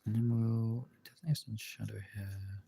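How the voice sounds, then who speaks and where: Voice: medium pitch, fairly even intonation, fairly quick, gravelly, dark tone. A streamer chatting casually while drawing, absent-mindedly thinking aloud in half-muttered remarks.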